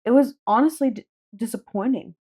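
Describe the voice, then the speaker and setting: Higher-voiced woman, casual book chat. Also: fairly quick, warm tone, husky. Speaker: podcast co-host.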